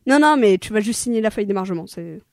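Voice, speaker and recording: A woman's voice: medium pitch, lively intonation, quick pace, darkish tone, no room sound, clean studio sound, close to an everyday voice. A woman playing a rambling production coordinator. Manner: rambling, full of fillers like "euh" and "hein". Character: hurried female production coordinator briefing an actor. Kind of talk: amateur radio play acting.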